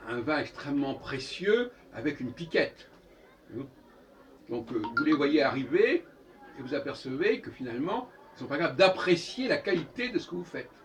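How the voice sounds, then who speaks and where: Guy talking off the cuff, pitch swinging a lot, keeps restarting phrases, bit far from the mic. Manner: halting, self-correcting, emphatic repetition of phrases. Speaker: speaker giving a philosophical talk. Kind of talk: improvised monologue, opinionated lecture. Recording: distant microphone, noisy room ambience.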